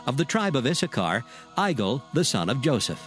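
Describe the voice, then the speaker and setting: Man, scripture narrator, measured formal reading, mid-pitched, music underneath. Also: very animated, fairly bright tone.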